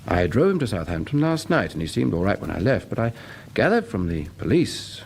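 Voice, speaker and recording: A brisk, sensible British doctor in a radio play. His pitch moves about freely as he recounts and reassures with a patronising edge, over a faintly hissy recording. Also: very animated, vocal fry, darkish tone. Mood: grave, measured. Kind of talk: radio drama acting and narration.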